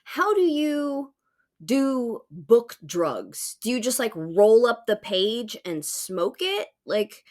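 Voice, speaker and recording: A woman's voice: a little high, very animated, slow pace, darkish tone. A woman co-hosting a podcast, chatting casually with a curious, joking manner. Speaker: podcast co-host.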